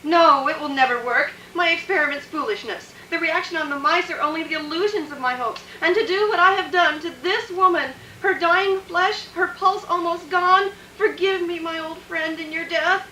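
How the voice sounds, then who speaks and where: Anguished, high-voiced woman doctor. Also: sharp tone. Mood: frantic, anguished. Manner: halting, dramatic, intense. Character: anguished woman doctor, solemn, fearful experimenter. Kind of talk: theatrical radio drama acting. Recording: noisy, echoey, distant radio recording.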